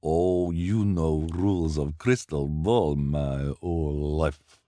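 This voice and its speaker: A man voicing a scheming, greedy female fortune-teller who flatters and speaks broken English with a strange foreign accent. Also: on the low side, takes it slowly, dry recording, studio quality, pitched above the performer's own voice. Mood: complaining. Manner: wheedling, ends phrases with "please". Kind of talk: audiobook character voice.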